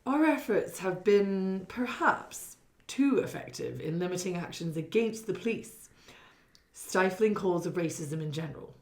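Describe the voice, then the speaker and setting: An actress in a satirical radio play as a cautious, apologetic government legal minister, formal and hesitant in manner. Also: female voice, lowish voice, a little mellow. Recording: roomy, echoing interior.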